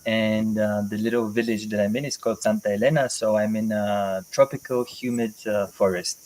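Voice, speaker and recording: Man, low reflective voice. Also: fairly even intonation, darkish tone. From Bulgaria. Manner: reflective, thinks aloud with many pauses and "you know, like". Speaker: guest. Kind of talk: casual interview. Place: home in a mountain forest.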